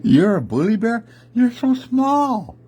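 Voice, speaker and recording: A man's voice: extremely high pitch, very animated, takes it slowly, mellow, breathy edge, pitched above the performer's own voice, full character voice. A man voicing a big, jolly, peace-loving bear in an oral story, easygoing and protesting in casual slang. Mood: amused disbelief.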